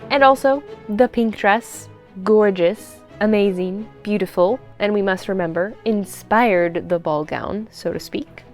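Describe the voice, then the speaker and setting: A woman narrating a vlog in an excited, gushing and exclamatory way. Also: medium pitch, unhurried, soft background music. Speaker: vlogger and seamstress.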